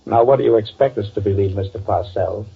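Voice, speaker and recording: An actor playing a stern, skeptical, world-weary police detective in an old-time radio drama, speaking bluntly and deadpan. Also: male voice, fairly low pitch, quick pace, vocal fry, breathy edge. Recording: tinny, noisy old radio recording.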